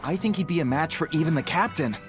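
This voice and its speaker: A man's voice: fairly high pitch, animated, fast talker, faint music behind, close to an everyday voice. An actor playing a dutiful, earnest pirate crewman in a video game. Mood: earnest report. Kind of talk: English video game voice acting.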